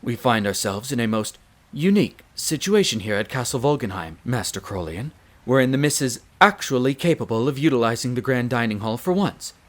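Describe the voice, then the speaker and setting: A man's voice: mid-range voice, expressive, fairly quick, natural voice. Man playing a polite, formal wolf butler. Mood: careful, deferential. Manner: very formal, deferential, hesitant when flustered. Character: polite, dutiful, nervous male wolf butler. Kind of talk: scripted audio roleplay acting.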